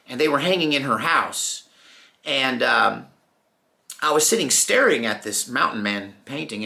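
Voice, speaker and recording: A middle-aged American man with a gravelly, creaky voice and a bright, forceful edge. He tells personal stories in a folksy, reflective way, leaving unhurried pauses as he goes. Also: medium pitch. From Texas, United States. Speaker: storyteller, channel host. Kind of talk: informal personal storytelling.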